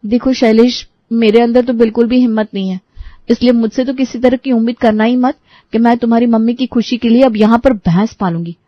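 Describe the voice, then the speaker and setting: A woman's voice: a little high, a little fast, no room sound, natural voice. A woman voicing a practical, firm young daughter-in-law in a cartoon story, slightly lo-fi.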